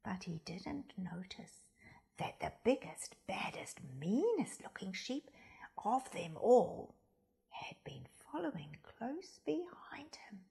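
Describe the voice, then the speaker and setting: A woman reading a children's story aloud in a dramatic, deliberate way, with hushed emphasis. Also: medium pitch, very animated, creaky, in a whisper.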